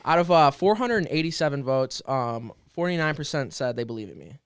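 A man's voice: medium pitch, animated, fairly quick, smooth, no room sound, clean studio sound. A young man chatting casually as a podcast co-host and rapper. He talks loosely, filling his speech with "like" and plenty of swearing.

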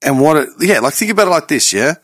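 A man's voice: a little high, quick pace, dry recording, clean studio sound. A man, talking casually and emphatically with a lot of swearing. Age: late 30s. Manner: animated, profane, emphatic. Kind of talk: casual podcast chat.

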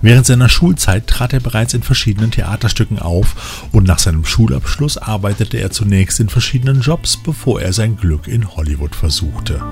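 Guy hosting a podcast, reading a script, lowish voice, music underneath. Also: animated, normal speed, hardly any pauses, darkish tone. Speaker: podcast host. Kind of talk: scripted narration. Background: background music.

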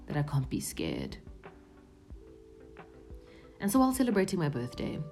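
Podcast host, woman in her 30s, mid-pitched warm tone, earnest and confiding, slips into hushed whispers. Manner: earnest, often drops to a hushed near-whisper. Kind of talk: reflective personal monologue.

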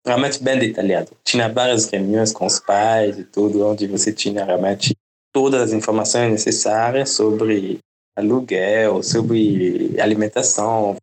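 A man's voice: lowish voice, a little slow, rough recording. French-native man speaking Portuguese. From Democratic Republic of the Congo. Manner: relaxed, explanatory, often adds "né?" and "entende?". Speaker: podcast guest. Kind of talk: conversational interview.

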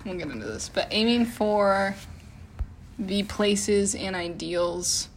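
A woman co-hosting a casual chat, reflecting out loud and filling pauses with "like" and "um.". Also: medium pitch, slight background noise. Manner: reflective, heavy use of "like" and "um". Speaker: podcast co-host.

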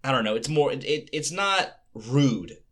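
A man's voice: mid-range voice, expressive, measured pace, fairly bright tone. A man co-hosting a casual music podcast, talking loosely and with enthusiasm. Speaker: podcast co-host.

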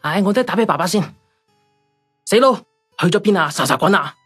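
A woman's voice: mid-range voice, expressive, rapid-fire, breathy edge, faint music behind. A woman acting a vain, chatty, nagging middle-aged mother in a radio drama, with a Hong Kong Cantonese accent. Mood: irritated nagging.

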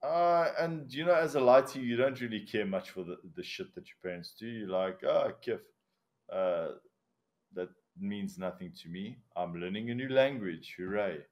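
A man's voice: fairly low pitch, moderate pace. A man with a South African accent, first language Afrikaans, telling stories in a casual, laughing, swearing podcast chat. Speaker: podcast guest, commercial diver.

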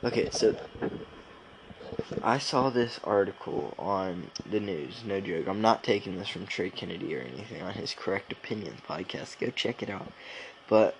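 A man's voice: low voice, lively intonation, moderate pace, gravelly, slight background noise, rough recording. A young man co-hosting a casual podcast, rambling along in informal slang with lots of filler words. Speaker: podcast co-host.

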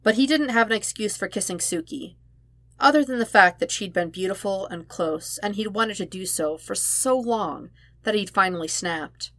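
A woman reading fan fiction aloud in an expressive audiobook style, with emphatic, dramatic phrasing. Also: mid-range voice, moderate pace, dry recording. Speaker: podfic narrator.

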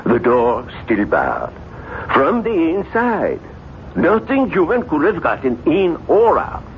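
Rattled handyman and old soldier, very animated intonation, radio play; thin, phone-like sound. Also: on the high side, slow pace, exaggerated voice. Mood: firm reassurance. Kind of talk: 1970s radio drama acting. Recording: tinny, narrow-band old radio recording, faint noise.

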